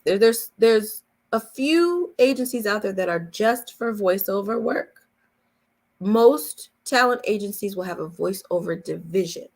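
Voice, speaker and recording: Creaky-voiced woman coaching, slightly lower pitched. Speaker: voice-over coach hosting a live Q&A. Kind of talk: casual conversational coaching chat.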